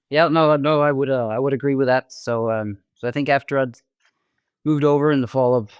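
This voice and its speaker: A man in his twenties with a Norwegian accent, chatting casually as a podcast guest, halting often with ums and repeated words. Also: medium pitch, steady tone, clear, resonant tone, no room sound, highs cut off. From Norway. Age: 20s. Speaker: podcast guest, amateur marathon runner. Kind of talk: casual interview chat.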